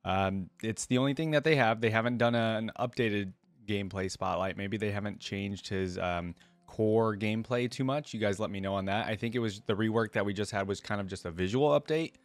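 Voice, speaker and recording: Male reaction host, lower register, conversational and off the cuff, dry studio-close sound. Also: a little fast, no echo. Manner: conversational, thinking aloud. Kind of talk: casual reaction commentary.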